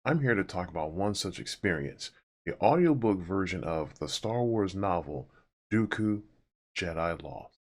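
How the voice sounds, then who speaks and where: A man's voice: low voice, lively intonation, measured pace. A man hosting a casual, conversational review monologue with informal, rambling asides. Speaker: host of an audiobook review series. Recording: music behind the voice. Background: music.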